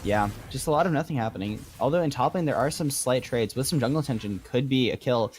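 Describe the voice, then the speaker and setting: A man doing play-by-play commentary on a live video game match, with a delivery that gets excitable when calling action. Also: mid-range voice, fast talker, slight background noise.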